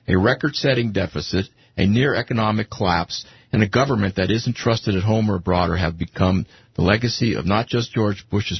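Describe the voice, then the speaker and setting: A very raspy American man with a low, gravelly creak, co-hosting political talk radio. He questions his guest in a pointed, challenging way. The sound is dry and a little dull. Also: no room sound. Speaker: radio show co-host. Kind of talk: argumentative talk radio interview.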